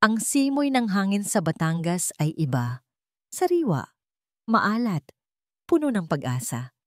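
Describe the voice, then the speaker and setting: A woman's voice: fairly low pitch, a little mellow, dry recording, studio quality. A woman audiobook narrator reading a dramatic first-person story in a solemn, emotive manner.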